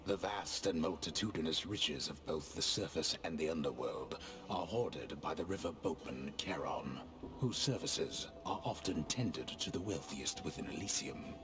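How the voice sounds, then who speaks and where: An actor playing a grave, lofty old storyteller, narrating an epic game in an ornate, measured, pompous manner. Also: male voice, extremely low pitch, animated. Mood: solemn, grand.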